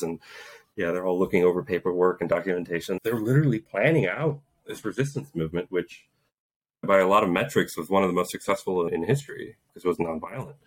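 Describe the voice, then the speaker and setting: Low-voiced man in his thirties, earnest guest storyteller, reflective, laughs now and then. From Poland. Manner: earnest, reflective, laughs while telling stories. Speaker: guest, Green Beret and author. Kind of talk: casual interview storytelling.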